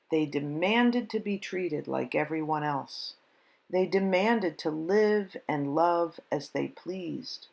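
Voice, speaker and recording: A woman reading a children's picture book aloud in measured, deliberate phrases with dramatic pauses. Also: lowish voice, gravelly. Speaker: storybook reader at a church service.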